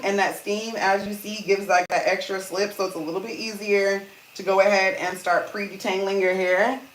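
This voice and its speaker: A woman with a deep voice hosting a casual hair-care livestream, chatting to viewers and calling them "you guys" while she steams her hair. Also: rough recording. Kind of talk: casual live-stream chat reading comments. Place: indoors at home, seated on a small chair. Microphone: phone.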